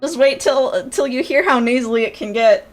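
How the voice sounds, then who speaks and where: Nasally young woman streaming, kinda high voice, rambly with lots of ums, little bit of music behind. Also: normal speed. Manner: chatty and rambling, with frequent fillers and laughs. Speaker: VTuber streamer and creator coach. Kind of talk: casual livestream chat.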